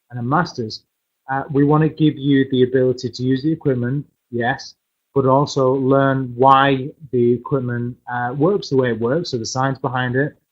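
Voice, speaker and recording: Loud, halting male presenter on a dull, muffled webinar feed. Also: mid-range voice. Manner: hesitant, many fillers and restarts. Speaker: course presenter. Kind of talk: live online course introduction.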